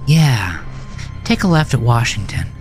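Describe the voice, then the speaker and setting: A man's voice: medium pitch, big pitch swings, a little slow, voice pushed up. A man reads the part of a bold, guarded woman in a horror audio drama. The delivery is blunt and challenging, slightly teasing, with faint music underneath. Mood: wary. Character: wary, bold, teasing woman confronting an awkward driver.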